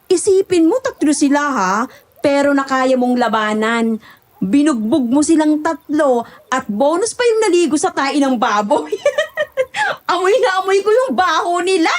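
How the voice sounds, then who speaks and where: A high-pitched, feisty country woman played by an actress in a rural horror audio drama. She talks expressively, like a chatty villager who speaks her mind. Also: very animated, a little slow. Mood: amused, admiring.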